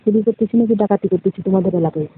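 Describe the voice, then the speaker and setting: A woman's voice: medium pitch, rapid-fire. Bangladeshi woman on a tinny phone. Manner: bantering, cheeky retorts. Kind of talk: casual phone chat. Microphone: phone call. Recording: tinny phone line with noise.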